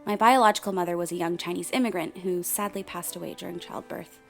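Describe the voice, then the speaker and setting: A woman's voice: on the low side, quick pace, gravelly, a bit bright. Blunt woman narrator, music behind. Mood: reflective. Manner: frank, sardonic, swears. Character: blunt, candid woman in her thirties narrating her family's tragedy. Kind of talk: first-person confessional narration in a radio play.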